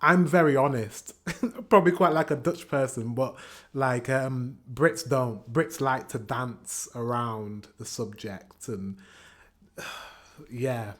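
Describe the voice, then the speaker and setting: A man's voice: mid-range voice, takes it slowly, fairly bright tone. Chatty British man. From England. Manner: chatty, acts out example exchanges. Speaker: reaction video host. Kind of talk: casual opinionated commentary.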